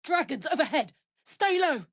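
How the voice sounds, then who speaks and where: A woman's voice: high-pitched, sing-song, moderate pace, no room sound, clean studio sound. A woman voicing a brash, big-hearted, hot-tempered warrior in a fantasy video game, speaking bluntly, informally and with open emotion. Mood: fired up.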